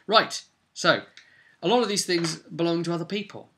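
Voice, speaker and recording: A man casually recapping his tabletop game as game master, rambling and breaking off into animated asides to viewers. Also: on the high side, moderate pace, slight background noise. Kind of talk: casual video recap, storytelling.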